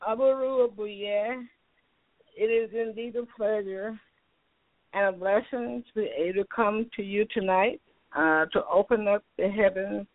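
An older woman, a spiritual elder, leading a slow, solemn, incantatory prayer. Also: medium pitch, steady tone, dry recording. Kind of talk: spoken prayer. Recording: dry, tinny phone line.

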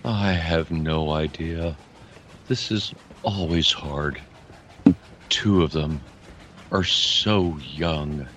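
A man's voice: very deep voice, very slow, long pauses, fairly bright tone, a little hiss or hum, exaggerated voice. A man playing a mysterious, compassionate harlequin spirit in an audio fantasy drama, courteous and enigmatic. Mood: grave, compassionate.

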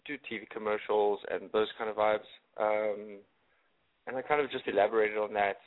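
South African man on a thin phone line. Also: lowish voice. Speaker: interview guest, model. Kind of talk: casual interview answers.

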